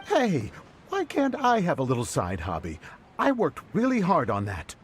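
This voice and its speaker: An actor voicing a good-natured, slightly defensive porter in a video game, earnest with a bit of grumbling. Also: male voice, on the high side, wildly swooping pitch, normal speed, a little hiss or hum. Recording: music behind the voice. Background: music.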